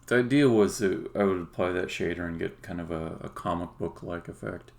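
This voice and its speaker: A man giving a casual live-stream demo as a game developer, halting and thinking aloud as he goes. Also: sits low, gravelly. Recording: noisy, with keyboard typing and clicking.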